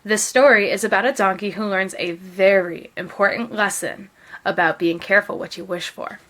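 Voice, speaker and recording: A woman narrating a children's picture book aloud in an expressive, careful storytelling manner. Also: medium pitch, normal speed.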